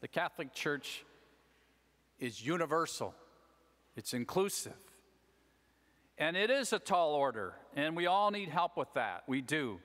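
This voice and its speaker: A man's voice: fairly high pitch, very animated, a little slow, pauses often, a bit bright. An American man in his sixties giving a homily in a conversational way, often checking in with "right?". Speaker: deacon preaching. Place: large church, at the ambo. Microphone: gooseneck lectern microphone. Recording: long church reverb over PA.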